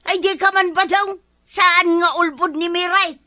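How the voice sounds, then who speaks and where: A woman's voice: extremely high pitch, steady tone, unhurried, bright, forceful tone, exaggerated voice. A woman acting a fiery, scolding village wife in an Ilocano comedy drama, with an Ilocano accent. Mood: suspicious. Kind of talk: Ilocano radio-style comedy drama dialogue. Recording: faint music behind.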